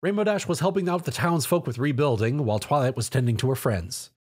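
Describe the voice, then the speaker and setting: A man reading an action story aloud as a scripted narration in an animated way. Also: medium pitch, quick pace, slightly rough.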